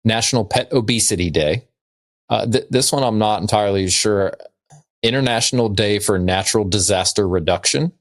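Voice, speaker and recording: A man with a fairly low voice chats casually as a podcast co-host. He delivers trivia and jokes dryly, in a loose comedic style. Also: moderate pace.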